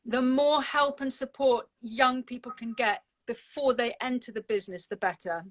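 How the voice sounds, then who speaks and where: A woman's voice: fairly high pitch, moderate pace, no room sound. Woman judge with a close, dry sound. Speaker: competition judge, interview guest. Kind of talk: conversational interview.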